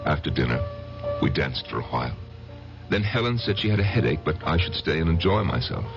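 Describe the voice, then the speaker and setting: An actor playing an insecure, besotted husband who recounts his past in a halting, self-deprecating, dramatic old-time radio style. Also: a bass voice, big pitch swings, gravelly, exaggerated voice. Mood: wistful. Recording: dull lo-fi old radio broadcast, music behind.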